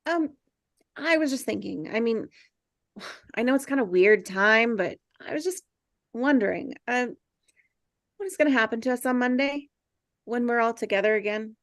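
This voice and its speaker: Casting: female reader as insecure misfit schoolboy, higher voice, halting delivery, table-read drama. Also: animated, dry recording, studio quality. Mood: hesitant, hopeful. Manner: halting, stammering, sighing. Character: earnest, insecure, awkward teenage boy, a brainy misfit. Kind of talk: table read of a film script.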